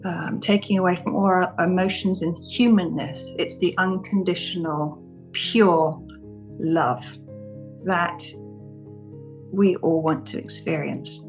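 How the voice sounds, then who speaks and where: A woman in her fifties, raised in Singapore and Indonesia, talking gently and reflectively as a podcast guest, often restarting her sentences. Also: lowish voice, fairly even intonation, slow pace, soft background music. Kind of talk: casual interview talk.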